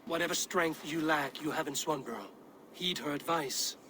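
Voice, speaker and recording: An actor playing a stern, steady Viking warrior in a game cutscene, speaking in a blunt, measured, weighty way. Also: male voice, fairly high pitch, a little hiss or hum. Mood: steady, wary. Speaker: video game lead character. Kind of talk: dramatic game cutscene acting.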